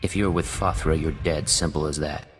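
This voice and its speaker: Covert agent, cold, terse, very deep, reverb, faint ambience. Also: male voice, fairly even intonation, normal speed, a little hiss or hum.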